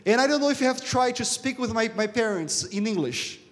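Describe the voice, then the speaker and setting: High-pitched Brazilian man preaching in a hall. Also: animated, fairly quick. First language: Portuguese. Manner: repetitive, emphatic, rhetorical questions. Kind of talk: sermon to a congregation. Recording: reverberant hall sound.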